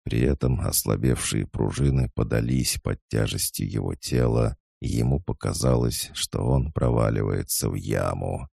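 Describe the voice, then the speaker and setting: A man narrating a classic novel in a measured, even reading style, close to the mic. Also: a bass voice, vocal fry, no echo. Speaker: audiobook narrator.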